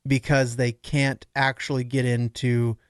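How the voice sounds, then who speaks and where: Male podcast speaker, medium pitch, conversational, analytical, thinking aloud, dry echo-free recording. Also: little pitch movement, measured pace, clean tone. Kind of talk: casual analytical podcast discussion.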